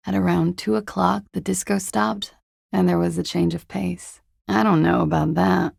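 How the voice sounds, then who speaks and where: A glamorous young woman from a video game, world-weary and sardonic. Her voice is low and heavily creaky, recorded very dry and close. She talks with languid, glib politeness, keeping a cool distance. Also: fairly even intonation, normal speed, a little mellow, dry recording, full character voice. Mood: matter-of-fact. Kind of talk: video game character dialogue.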